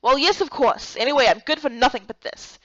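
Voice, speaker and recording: A woman voicing a gruff, irritable man with a brusque, sarcastic way of talking in a game story. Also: sits high, a little fast, fairly bright tone, dry recording. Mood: irritated, sarcastic. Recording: dull lo-fi close recording.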